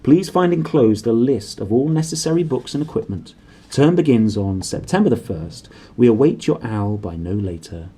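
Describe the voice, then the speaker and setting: Guy reading as a formal storybook headmistress, official letter voice, precise, measured, mellow. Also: medium pitch, expressive, breathy edge. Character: formal, brisk deputy headmistress, a woman writing officially.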